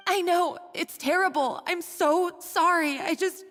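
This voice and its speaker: Stammering, nervous kitchen assistant. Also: female voice, extremely high pitch, a little slow, a bit bright, faint music behind. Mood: guilty. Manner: stammering and fearful. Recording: game audio with reverb.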